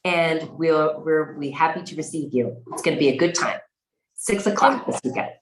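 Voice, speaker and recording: A woman's voice: sits low, moderate pace, a little hiss or hum. A woman speaking conversationally as an interview guest, representing her organization, with a roomy sound around her. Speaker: interview guest, executive director.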